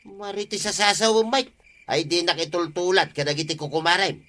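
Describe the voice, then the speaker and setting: A man acts out a sheepish small-time village thief in a comedy radio drama. The voice is very bright and sharp, slightly high for a man, with an Ilocano accent and stammered, exclaiming excuses. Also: moderate pace. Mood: nosy, bantering. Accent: Filipino, Ilocano.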